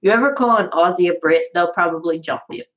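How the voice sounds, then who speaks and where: A woman's voice: lowish voice, fast talker. Rambling Southern woman on a lo-fi livestream. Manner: rambling, chatty, many fillers and restarts. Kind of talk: casual livestream chat. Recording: dull lo-fi sound.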